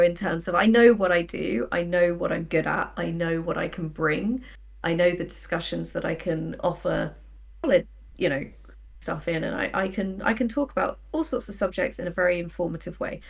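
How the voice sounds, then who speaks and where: A woman in her thirties chatting casually as an interview guest, telling things in an animated way and acting out imagined quotes. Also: on the low side, normal speed, vocal fry, distant mic, muffled recording. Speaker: guest, writer.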